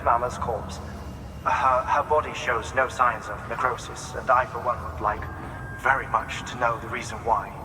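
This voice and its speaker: An actor playing a fastidious scientist in a video game, speaking precisely and formally in wordy sentences with deliberate pauses. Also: male voice, mid-range voice, bright, forceful tone, close to an everyday voice. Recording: distant, echoey reverberant hall, faint noise.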